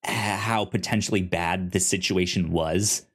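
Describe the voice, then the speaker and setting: A man co-hosting a podcast, casually discussing fiction in a halting way, often stumbling over the starts of words. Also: low voice, steady tone, fairly bright tone, husky. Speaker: podcast co-host reviewing fiction.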